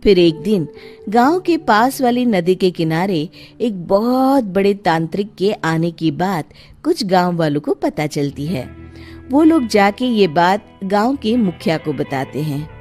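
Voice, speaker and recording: A woman narrating a Hindi cartoon moral story in an expressive storytelling style. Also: mid-range voice, measured pace, faint music behind.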